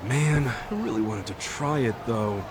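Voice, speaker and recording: An actor voicing a brash, earnest young yakuza underling with rough, slangy speech in an English-dubbed game. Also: male voice, mid-range voice, measured pace, noisy background, close to an everyday voice. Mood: eager, excitable. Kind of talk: English-dubbed game cutscene dialogue and narration.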